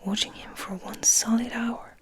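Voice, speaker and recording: A woman reading a story aloud as soft ASMR, in a breathy, mostly unvoiced whisper with gentle, unhurried delivery. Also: a little high, fairly even intonation. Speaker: ASMR host and reader.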